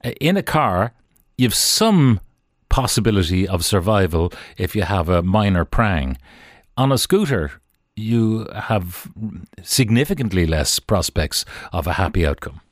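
A man's voice: low voice, sing-song, measured pace, dry recording, clean studio sound. A man with an Irish accent hosting a current affairs radio interview, probing in a conversational, colloquial way.

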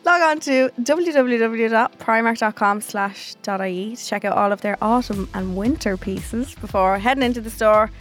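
A woman's voice: on the high side, moderate pace, few pauses, faint music behind. Giggly woman podcast co-host. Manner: playful, giggly, jokey asides. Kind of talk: casual chat.

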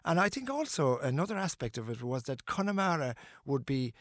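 Irish guy mid-aged, musician in an interview, emphatic, lots of restarts, ordinary pitch, dry sound. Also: very animated, fairly quick, a bit bright, dry recording. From Ireland. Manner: earnest and emphatic, with frequent restarts and fillers.

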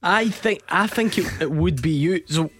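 Teasing Scottish radio host, slightly high. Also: male voice, moderate pace, a crowd in the background. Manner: teasing, laughs often. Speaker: radio football show host. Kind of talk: casual studio banter.

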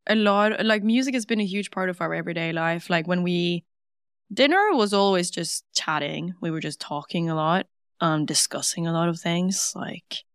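Smooth-voiced young Norwegian woman, dry close sound. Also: lowish voice, normal speed, no room sound. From Norway. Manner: warm, eager, many "like" fillers. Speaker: guest, singer. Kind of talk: casual interview.

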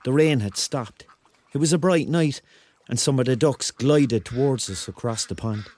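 An actor playing a rambling, excitable Cork man in his thirties, telling his story in a colloquial radio drama style. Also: medium pitch, normal speed, natural voice. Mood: wry storytelling. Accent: Cork Irish. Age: 30s. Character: rambling, excitable thirty-year-old Corkman on a surreal night out. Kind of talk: radio drama acting, first-person narration and dialogue.

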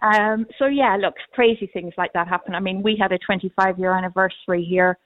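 A woman who owns a pub, talking on a radio phone-in in a friendly, measured way. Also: medium pitch, steady tone. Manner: friendly, measured, frequent "yes". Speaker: pub owner, caller. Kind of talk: phone interview. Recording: tinny phone line.

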